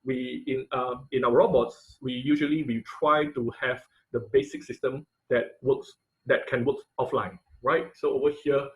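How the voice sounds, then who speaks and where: A man with a medium-pitched voice teaches an informal online technical class. He explains step by step in a halting way, often repeating himself. His English phrasing is non-native. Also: fairly even intonation, rough recording. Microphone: online video call.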